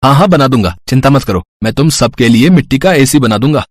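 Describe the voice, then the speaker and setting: A man voicing an earnest, hardworking poor village boy in an animated moral story, speaking plainly and reassuringly. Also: mid-range voice, brisk, no room sound, close to an everyday voice.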